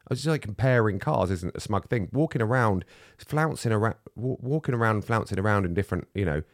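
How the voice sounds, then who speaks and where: A man's voice: fairly low pitch, lively intonation, fast, no room sound, clean studio sound. A man in casual chat as a co-host, ranting and self-mocking. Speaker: podcast co-host.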